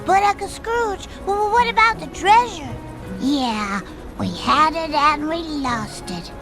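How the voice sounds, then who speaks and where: A woman's voice: high-pitched, lively intonation, slow, rough recording. A woman voicing eager, earnest little boy duck nephews in a cartoon game. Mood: let down. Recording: loud music behind the voice.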